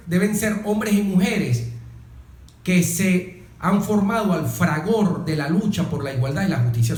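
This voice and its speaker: A Venezuelan man giving political commentary as an interview guest, speaking in an emphatic, didactic way with repeated phrases and rhetorical questions. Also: high voice. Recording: echoey room.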